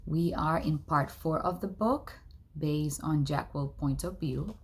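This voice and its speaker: A woman's voice: sits low, unhurried. A woman reading a storybook aloud as narration. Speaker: read-aloud reader.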